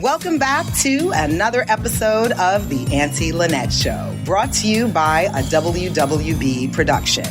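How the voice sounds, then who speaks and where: A woman's voice: lowish voice, sing-song, a little slow, hardly any pauses, music in the background. Effusive woman hosting a podcast. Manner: effusive, repeats greetings and praise. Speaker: podcast host. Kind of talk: enthusiastic interview host.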